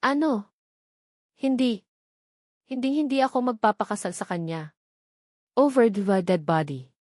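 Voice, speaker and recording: A woman's voice: fairly high pitch, unhurried, darkish tone, dry recording. A woman plays an arrogant, hot-headed young heir in an audio romance drama. The spoiled son is scornful and defiant, heard in a dry, close sound. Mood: angry, defiant.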